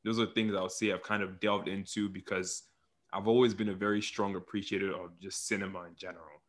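A man's voice: low-pitched, little pitch movement, fairly quick, fairly bright tone. A young man from Nigeria, telling his story casually as an interview guest.

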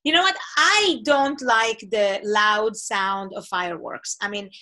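A woman's voice: mid-range voice, a little slow. A middle-aged woman from Israel whose first language is Hebrew, hosting an interview and telling her own stories expressively. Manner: animated, expressive storyteller. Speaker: host. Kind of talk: conversational interview.

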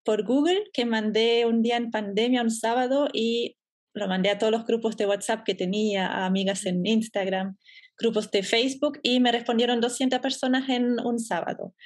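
A German woman in her thirties, guest on a podcast interview, talking about her business in a relaxed way and laughing easily. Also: a little high, moderate pace. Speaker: entrepreneur guest.

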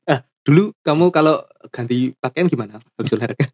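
Man, casual podcast banter, playful storyteller, quick laughs. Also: medium pitch, lively intonation. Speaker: podcast co-host.

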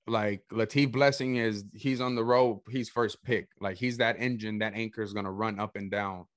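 A young man from El Salvador hosting a casual podcast chat, energetic and saying "bro" a lot. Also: fairly low pitch, normal speed, no room sound, studio quality. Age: young adult. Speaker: podcast host.

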